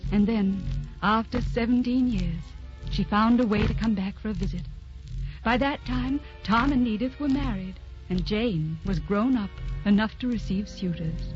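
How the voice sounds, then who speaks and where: A woman narrating a sentimental radio drama in a measured manner with dramatic pauses. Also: medium pitch, close to an everyday voice. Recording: muffled old broadcast with music.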